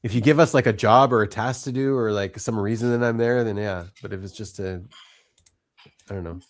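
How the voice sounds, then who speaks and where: A man's voice: fairly low pitch, brisk. A man chatting in an online group call, making wry, teasing remarks. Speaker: participant in an online group chat. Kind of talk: casual chat.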